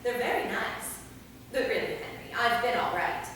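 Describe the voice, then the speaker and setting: A woman playing a warm, chatty centuries-old vampire in a staged play reading, conversational and gently probing. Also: fairly high pitch, expressive, normal speed. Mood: chatty, teasing. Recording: echoey hall, distant mic, faint noise.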